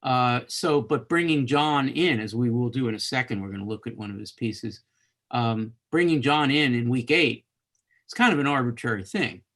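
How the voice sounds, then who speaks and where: A man's voice: mid-range voice, normal speed, fairly bright tone. A man hosting an unscripted live webcast discussion, talking conversationally and rephrasing himself as he goes. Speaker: host of a live literature course webcast.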